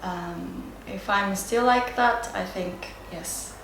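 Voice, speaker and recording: A woman from Japan with a Japanese accent, a native Japanese speaker giving reflective, unscripted interview answers, hesitant and searching for words with frequent pauses. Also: mid-range voice. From Sendai area, Japan. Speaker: interviewee, yoga and Japanese teacher. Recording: roomy echo, clear background noise.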